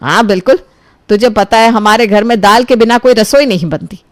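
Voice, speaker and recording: A woman's voice: a little high, fairly quick, no echo, studio quality, natural voice. A woman voicing a fussy, demanding but generous mother in a storybook tale, exclaiming and bossy. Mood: pleased, generous. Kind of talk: animated storybook dialogue.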